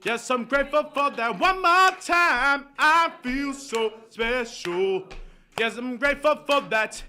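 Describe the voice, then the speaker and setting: A woman performs on a stage mic in a small indoor venue, leading the crowd in call and response. Her voice is very high and strikingly bright. A guitar plays faintly behind her. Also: unhurried. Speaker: singer performer.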